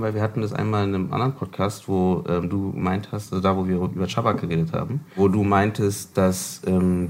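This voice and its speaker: A man's voice: lowish voice, darkish tone. A man hosting a podcast roundtable, introducing guests in a casual, hesitant way with many fillers. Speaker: podcast host.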